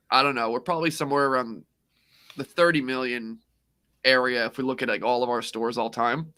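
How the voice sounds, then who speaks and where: Man co-hosting a livestream, hoarse voice, bright and forceful, rambling, upbeat and jokey. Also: medium pitch. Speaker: co-host. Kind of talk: casual livestream chat.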